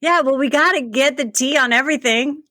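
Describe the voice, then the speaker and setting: A woman's voice: high voice, brisk, sharp tone. A woman hosting a podcast in an informal, conversational style. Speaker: podcast host. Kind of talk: casual interviewing and podcast narration.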